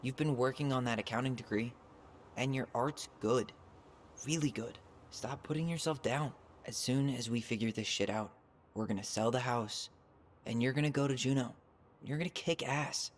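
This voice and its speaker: A man's voice: medium pitch, moderate pace, natural voice. Guy acting young american brother in a video game, mid voice, earnest n supportive, background noise. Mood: earnest, reassuring. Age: young adult.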